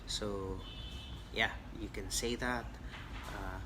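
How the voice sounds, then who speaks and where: A man's voice: lowish voice, fairly even intonation, slow pace, noisy background. A man from the Philippines coaching call center applicants in a casual, direct way, with many fillers and restarts. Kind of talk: live-stream Q&A coaching.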